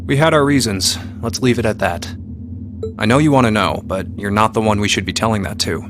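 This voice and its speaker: A man's voice: on the low side, fairly quick, background noise, natural voice. An actor playing a breezy, teasing male mercenary in a video game, casual and slangy with a sentimental streak. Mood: guarded. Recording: music behind the voice.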